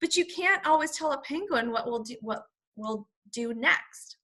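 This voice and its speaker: Woman as a mild, high-voiced storybook husband. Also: expressive, unhurried, bright, forceful tone, heavily acted. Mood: humble. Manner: polite, musing. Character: mild, polite, good-natured husband with a pet penguin.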